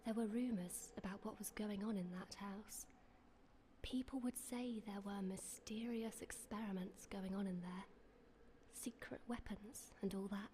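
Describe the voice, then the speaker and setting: A woman plays a young English sweetheart in a period radio play, with a medium-pitched voice. She is well-spoken, teasing and dryly wry, with light ambience behind her. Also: fairly even intonation, measured pace, warm tone, a little hiss or hum, natural voice. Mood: hushed, eerie. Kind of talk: period radio drama acting.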